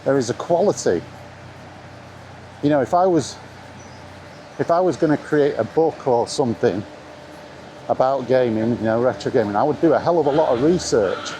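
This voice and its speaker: Man, middle-aged, mid-pitched voice, blunt casual vlog monologue, emphatic repetition, outdoor recording with faint ambient noise. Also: lively intonation, normal speed, long pauses, dark tone. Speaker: video blogger talking about retro games. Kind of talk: casual rambling opinion monologue. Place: outdoors in the rain, walking.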